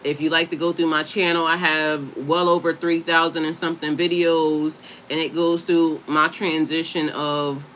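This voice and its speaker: A woman from Delaware hosts a relaxed live stream, chatting with her viewers and reading aloud from a website. She stumbles over difficult terms and spells them out letter by letter. Her voice is bright and forceful, with a faint hiss of noise behind it. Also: deep voice, normal speed, hardly any pauses, low-quality recording. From Delaware, USA. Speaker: livestream host and herbalist. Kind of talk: casual livestream chat, reading aloud from a website.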